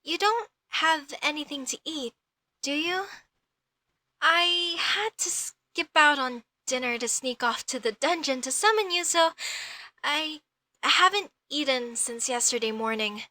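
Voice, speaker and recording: A woman playing a curious, outspoken young female elf mage in a comedic audio roleplay, questioning things in a halting, slightly haughty way. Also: sits high, sharp tone, no echo. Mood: hesitant, polite.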